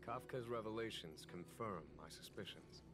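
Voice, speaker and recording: A man playing a shrewd, unhurried general in a video game, measured and musing, with quiet authority. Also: on the low side, noisy background, close to an everyday voice. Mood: calm, assured. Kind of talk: scripted video game voice acting. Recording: background music under the voice.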